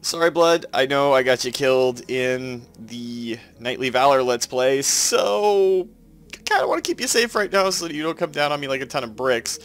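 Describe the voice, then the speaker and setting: A man's voice: mid-range voice, expressive, normal speed, fairly bright tone. A man with a Canadian accent and a head cold that makes him sound nasal, chatting casually as he plays and explains his moves. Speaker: let's play host. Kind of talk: casual gameplay commentary.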